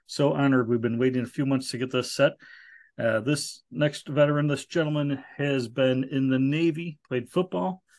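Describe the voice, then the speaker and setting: A man's voice: medium pitch, fairly even intonation, normal speed, darkish tone. A middle-aged man, an Army veteran, hosting a casual video-call interview, friendly and joking, often restarting his sentences. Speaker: podcast host, Army veteran.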